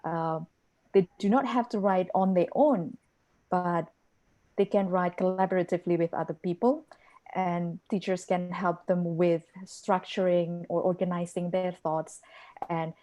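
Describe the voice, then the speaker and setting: Woman on a panel, lowish mellow voice, careful and a bit hesitant. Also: steady tone, unhurried. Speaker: panel speaker. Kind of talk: panel discussion.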